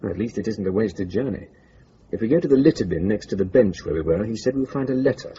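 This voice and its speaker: An actor playing an unflustered British secret agent in a radio drama, speaking in a dry, understated way, on a dull recording. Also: male voice, fairly low pitch, expressive, fast, mellow, lightly acted. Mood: alert. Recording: dull lo-fi audio with faint noise.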